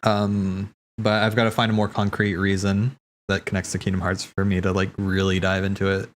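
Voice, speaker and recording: Low-voiced man, halting casual commentary, noisy recording. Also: completely flat delivery. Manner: halting, thinking aloud, stammering. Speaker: streamer hosting a cutscene watch party. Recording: clear background noise.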